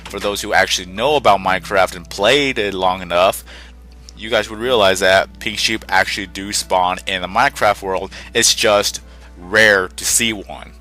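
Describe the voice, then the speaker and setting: A man's voice: lowish voice, bright, forceful tone. A young man hosting a casual gaming channel update, rambling and correcting himself as he goes, a little sick, congested and short on sleep. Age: young adult. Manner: rambling, self-correcting, conversational.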